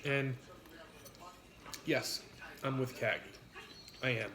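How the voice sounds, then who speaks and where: A man's voice: medium pitch, steady tone, slow. A man chatting casually and bluntly over food, with some swearing. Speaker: mukbang co-host. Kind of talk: casual chat while eating. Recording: echoey room with busy background chatter.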